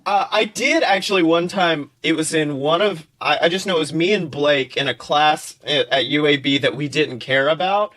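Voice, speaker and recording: A young man co-hosting a casual comedic podcast, talking in an animated, slangy way with profanity and mock seriousness. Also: a little high, moderate pace, hardly any pauses. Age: young adult. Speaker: podcast co-host.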